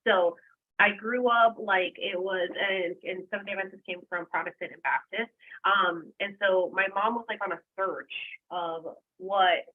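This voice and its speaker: A woman from Puerto Rico tells her life story as a podcast guest, talking quickly and filling her speech with "like". Her voice sits at a middle pitch, and the sound is narrow and tinny, like a phone line. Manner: quick, chatty, with lots of "like". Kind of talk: casual storytelling chat.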